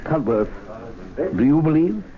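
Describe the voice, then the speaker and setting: An actor playing a worried, doubtful, formal club gentleman in an old radio drama. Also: a little high, expressive, measured pace. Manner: fretful, formal. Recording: tinny, faintly hissy radio recording.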